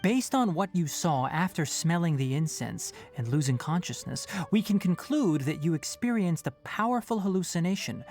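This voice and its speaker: Low-voiced young male forest ranger. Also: a little mellow. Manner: measured, articulate, instructive. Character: composed young male forest ranger master, frank and exacting. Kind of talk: video game voice acting.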